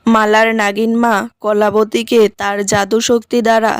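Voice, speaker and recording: High-pitched woman narrating a fairy tale. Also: little pitch movement, unhurried, smooth, no room sound, clean studio sound. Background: music.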